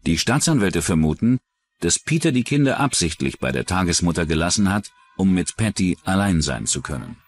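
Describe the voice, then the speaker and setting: Low-voiced, animated male documentary narrator. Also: a little fast. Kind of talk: scripted true-crime narration.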